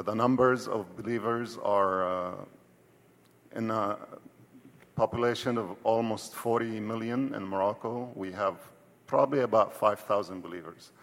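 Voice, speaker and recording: Male missionary guest, fairly deep, halting explainer, reverberant hall sound. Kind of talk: explanatory interview answer. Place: indoor church hall.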